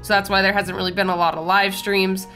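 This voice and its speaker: A woman's voice: mid-range voice, little pitch movement, fast talker, pushed and bright, faint music behind. A young woman hosting a casual art channel, talking as she draws, slightly nasal and congested from a cold, with a chatty, rambling way of talking. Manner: chatty, rambling fan talk. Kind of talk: casual chat over drawing.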